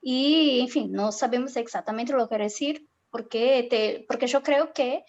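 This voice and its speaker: A woman's voice: on the high side, unhurried, highs cut off. A woman from Brazil with a Portuguese accent, asking a question on a video call, with lots of hesitation and fillers. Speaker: course participant.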